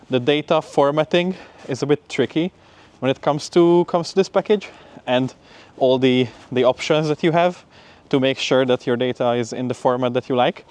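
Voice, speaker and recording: A man's voice: mid-range voice, a little mellow. A young man giving an informal, upbeat vlog update in a conversational way, as a student talking through his week. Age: young adult. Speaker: PhD student vlogger. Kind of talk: casual spoken vlog update.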